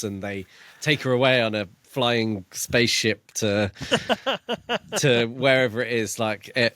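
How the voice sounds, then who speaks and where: A podcast co-host, this man has a fairly deep voice, recorded dry and close. He talks casually about books, feeling his way through thoughts in a halting, exploratory manner. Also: a bit bright, dry recording. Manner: tentative, musing, halting delivery. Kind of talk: casual book discussion.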